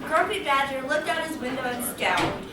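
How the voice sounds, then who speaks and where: A woman's voice: sits high, expressive, normal speed. A woman narrating a scripted children's story. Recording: very echoey room, distant mic, faint noise.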